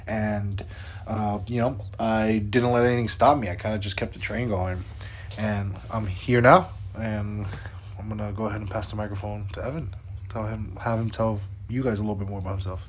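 A man's voice: fairly low pitch, fairly even intonation, moderate pace, a little hiss or hum. A man in his twenties co-hosting a podcast, chatting in an upbeat, loose way with lots of fillers. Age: 20s. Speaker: podcast co-host. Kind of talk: casual podcast chat.

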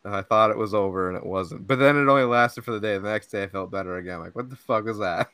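A man's voice: on the low side, quick pace, fairly bright tone, no room sound. A young man hosting a podcast, chatting casually and rambling, laughing often and saying "like" and "dude" a lot. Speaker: podcast host. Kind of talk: casual chat. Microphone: podcast mic.

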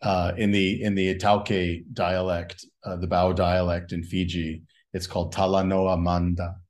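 A man's voice: deep voice, level tone, measured pace, a little mellow. A man speaking as a guest in a reflective spiritual conversation, musing and asking a string of rhetorical questions. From United States.

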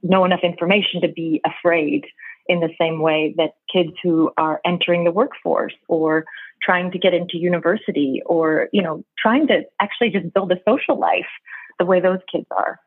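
A woman's voice: lowish voice, fairly even intonation, normal speed, no echo. A woman in her thirties from Brooklyn with an American accent, a guest in a conversational interview, talking thoughtfully and laughing easily. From New York City, Brooklyn. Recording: tinny phone-like call line.

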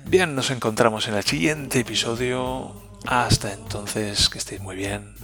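A man with a Spain Spanish accent hosting a reflective personal podcast, thinking aloud with pauses and restarts. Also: mid-range voice, music in the background. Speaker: podcast host.

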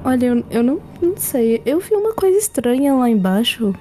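A woman's voice: high voice, big pitch swings, fairly quick, dark tone, faint music behind. A woman with a Brazilian Portuguese accent, playing a tabletop game, talking in a hesitant, giggly way. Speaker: player.

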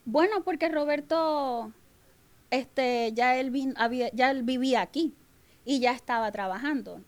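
A woman's voice: sits high, unhurried. A woman with a Venezuelan accent speaking as an interview guest, telling personal stories conversationally and acting out remembered dialogue. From Maracaibo, Venezuela. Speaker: podcast guest, business owner.

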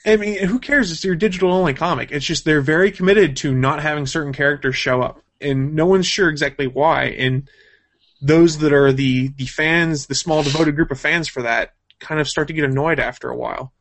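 A man's voice: a little high, fairly quick, fairly bright tone. A man co-hosting a casual gaming and comics news stream, talking in a chatty, opinionated fan style. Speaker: show co-host.

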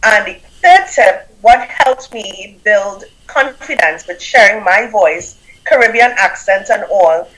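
A woman's voice: medium pitch, expressive, slow, hardly any pauses, fairly bright tone, low-quality recording. A woman with a Trinidadian accent, a guest speaker and business coach giving emphatic motivational advice and repeating punchy phrases. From Trinidad and Tobago. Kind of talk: motivational interview talk.

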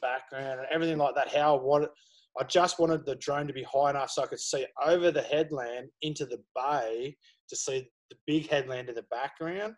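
A man's voice: medium pitch, fairly even intonation, brisk. A man, chatting casually as a podcast guest, rambling with lots of fillers. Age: 30s. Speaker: guest photographer.

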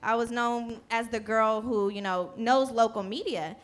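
A woman's voice: a little high, fairly even intonation, measured pace. A woman from Charlotte, North Carolina, speaking casually on a panel with many fillers. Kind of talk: panel discussion.

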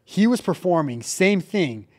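A man with a fairly high-pitched voice hosts a relaxed podcast conversation. He tells stories animatedly, leaning on casual fillers like "like" and "dude" as he builds the tension. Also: unhurried. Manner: animated storyteller, heavy use of "like" and "dude". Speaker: podcast host.

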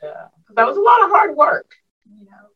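A woman's voice: very high-pitched, huge pitch swings, brisk, lo-fi sound, a little hiss or hum. A woman in her fifties from Arkansas, a school superintendent reflecting on her life in a casual interview, with lots of fillers. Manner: warm, reflective storyteller with frequent fillers. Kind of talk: casual interview over a call.

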